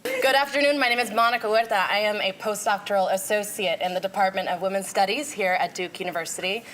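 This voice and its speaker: A woman reading a formal introduction of a guest speaker in a lecture room, smooth and composed. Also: mid-range voice, fast, fairly bright tone, a little hiss or hum. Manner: smooth, formal, read-aloud delivery. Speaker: postdoctoral researcher introducing the speaker. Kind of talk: read introduction.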